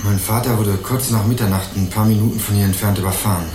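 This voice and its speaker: A man's voice: on the low side, fairly even intonation, fast, noisy background, lightly acted. Actor as polite, probing young man, echoey room. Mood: grave. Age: young adult. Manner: courteous, hesitant, insistently questioning. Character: young male student, polite, probing amateur investigator. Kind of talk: 1980s German crime radio play dialogue.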